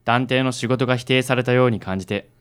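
A man playing a suave, encouraging young gentleman in a radio drama, speaking in a smooth, theatrical way. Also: medium pitch, steady tone, quick pace, lightly acted. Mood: gentle, reassuring.

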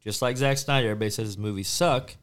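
A man in his thirties on a friends' podcast, making dry, deadpan jokes and pressing his argument insistently. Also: on the low side, rapid-fire, clear, resonant tone. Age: 30s. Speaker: podcast co-host. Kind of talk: casual chat among friends.

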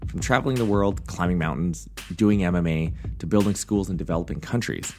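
Very low-voiced man hosting a podcast. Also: brisk, a little mellow, slight background noise. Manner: self-deprecating, jokey. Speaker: podcast host. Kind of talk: casual, rambling podcast talk.

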